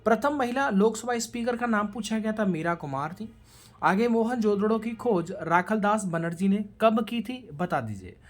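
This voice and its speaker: Female exam coach, medium pitch, instructional question-and-answer delivery aimed straight at students. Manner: instructional, addresses students directly, mixes in English terms. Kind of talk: rapid question-and-answer revision lecture.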